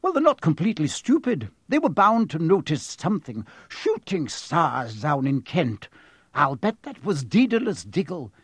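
Man voicing a high-pitched, stern older witch professor. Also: huge pitch swings, moderate pace, slightly rough, dry recording, exaggerated voice. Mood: impatient. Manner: sharp, impatient, clipped. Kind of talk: audiobook character voice.

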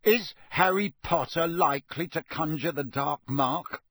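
A man's voice: fairly high pitch, a little slow, a bit bright, dry recording, voice pushed up. Guy reading protective dad, firm and angry, dry sound. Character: protective middle-aged father, angry.

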